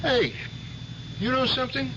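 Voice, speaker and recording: An actor playing a nosy, garrulous big-city cab driver in a radio drama. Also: male voice, extremely high pitch, normal speed. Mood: chatty, curious. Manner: garrulous, familiar, folksy. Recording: dull, very noisy old radio drama with traffic.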